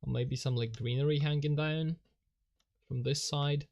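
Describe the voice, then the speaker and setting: A man's voice: fairly high pitch, fairly even intonation, a little slow, gravelly, a little mellow. A man whose first language is Polish, rambling and self-mocking as he thinks aloud while drawing on a live stream, chuckling often.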